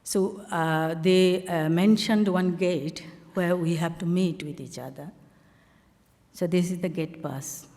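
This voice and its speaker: A woman from Bangladesh with a Bangladeshi accent, an artist telling the story behind her slides in a halting, conversational way full of fillers. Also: fairly low pitch, fairly even intonation, warm tone, long reverb tail. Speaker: artist presenting her work on a panel. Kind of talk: conversational talk walking through slides.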